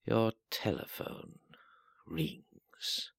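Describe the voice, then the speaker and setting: A man reading an atmospheric literary story in a measured way, pausing between short phrases, with a gravelly voice that often turns breathy and trails off. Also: fairly low pitch, fairly bright tone, no room sound, in a whisper, studio quality. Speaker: reader of a literary audio story. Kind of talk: slow atmospheric literary narration.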